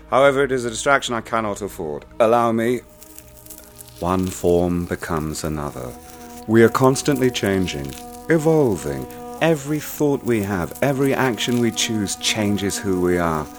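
An actor playing a cold, sadistic man who speaks to a bound captive in a soft, measured, menacing way in a radio play. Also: low-pitched, full character voice. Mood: silkily menacing.

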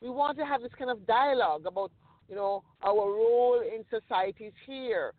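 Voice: mid-range voice, normal speed, dry recording. A podcast host from the Caribbean speaks in an earnest, thoughtful way and keeps checking in with listeners. The sound is thin and phone-like, and the voice is dry and close with no room around it. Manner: earnest, reflective, with frequent "you know" and "okay?" checks. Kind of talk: casual discussion.

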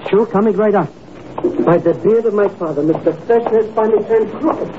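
An actor playing an excitable, shifty petty schemer working as a waiter in a 1950s radio drama, flustered, slangy and obsequious. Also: male voice, high-pitched, a little fast, close to an everyday voice. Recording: muffled, noisy old radio broadcast recording.

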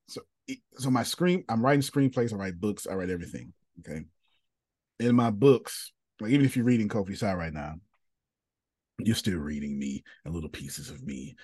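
A man, a podcast host who sounds casual and drops into a soft, low voice when he talks about himself. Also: no room sound. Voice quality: raspy. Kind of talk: casual group chat and personal talk.

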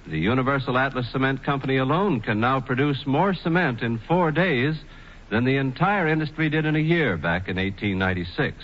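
A man reading scripted sponsor narration as a 1940s radio spokesman, with measured, emphatic phrasing. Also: medium pitch, expressive. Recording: muffled, noisy 1940s radio broadcast.

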